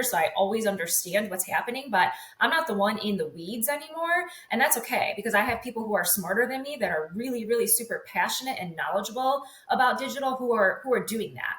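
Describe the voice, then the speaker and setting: A woman's voice: mid-range voice, fairly bright tone. A woman talking as an interview guest in a talkative, emphatic, explanatory way, in casual conversation. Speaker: podcast guest, communications agency executive. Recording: roomy echo.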